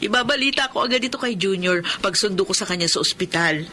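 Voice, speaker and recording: An actress playing a caring, hardworking young woman in a Filipino radio drama, gentle and sincere. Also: mid-range voice, animated, quick pace, slight background noise. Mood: hopeful. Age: young adult. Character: caring, hardworking older sister and dutiful daughter. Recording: music behind the voice.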